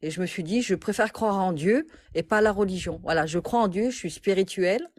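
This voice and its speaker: A woman's voice: medium pitch, a little fast. A woman calling in to a live stream, answering hesitantly with many restarts. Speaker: caller. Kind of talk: live call-in chat.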